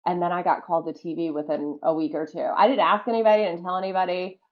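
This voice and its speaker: A woman's voice: on the low side, fairly even intonation, quick pace, highs cut off. A woman with a slight Southern accent, telling stories as a podcast guest in a chatty way, full of "like" and "um". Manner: chatty, lots of "like" and "um". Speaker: podcast guest, former wrestler. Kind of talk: casual interview storytelling.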